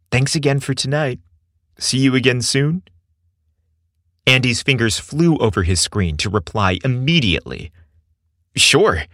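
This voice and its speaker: A man's voice: mid-range voice, fairly bright tone, no room sound, clean studio sound. A man narrating a scripted romantic story as a storyteller.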